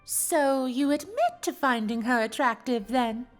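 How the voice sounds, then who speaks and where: A woman's voice: high voice, expressive, measured pace, soft background music. A woman playing a refined, teasing, gossipy female pony with theatrical, genteel phrasing in a cartoon fan audio drama. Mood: sly, teasing.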